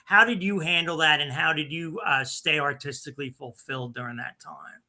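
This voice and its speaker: A man hosts a music talk show with a very bright, forward voice, pitched a bit high. He asks long, winding questions in a friendly way and shares his own stories. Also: steady tone, brisk. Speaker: radio show host. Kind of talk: interview questions.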